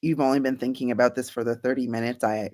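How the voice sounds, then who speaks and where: A woman's voice: rumbling low, steady tone, fast talker. Earnest woman, thoughtful answers. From Bahamas. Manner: earnest, thoughtful, many fillers and restarts, soft laughs. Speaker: guest, climate scientist.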